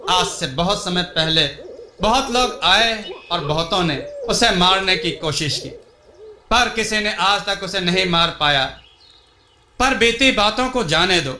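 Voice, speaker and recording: A man's voice: sits high, lively intonation, slow, sharp tone, reverberant, a little hiss or hum, exaggerated voice. A man voicing a wise, solemn elderly villager who cautions and guides in a storybook tale. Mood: grave warning. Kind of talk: storybook dialogue.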